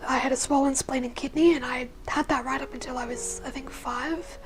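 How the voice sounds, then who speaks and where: A young woman with a naturally husky, hoarse voice that sits high for a woman. She talks in a rambling, personal way, full of "like" and "you know", and often sinks into a breathy near-whisper. Clear music plays under her voice throughout. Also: fairly even intonation, fairly quick. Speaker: vlogger sharing her life story. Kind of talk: casual personal storytelling.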